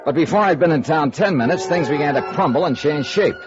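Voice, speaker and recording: A dry, wry private investigator from a 1950s radio noir show, played by a male actor. His pitch swings widely as he needles and narrates in clipped hardboiled lines. The old recording is muffled, with music behind. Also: lively intonation, a little fast, natural voice. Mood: wry narration. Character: wry, persistent male freelance insurance investigator.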